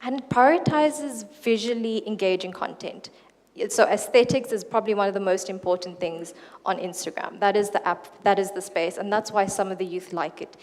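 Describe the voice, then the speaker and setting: A woman giving a keynote talk at a conference in a relaxed, conversational way. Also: medium pitch, normal speed. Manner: relaxed, with fillers and restarts. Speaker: keynote speaker. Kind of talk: conference presentation. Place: conference hall. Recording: reverberant hall.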